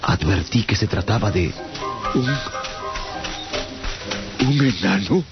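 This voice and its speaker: A man narrating a radio drama as a traveller recounting his adventure, in a theatrical, deliberate storytelling manner. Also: lowish voice, lively intonation, full character voice. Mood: wondering. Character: adult male traveller recounting his strange captivity. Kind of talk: dramatised first-person audiobook narration. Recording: dull radio audio over clear music.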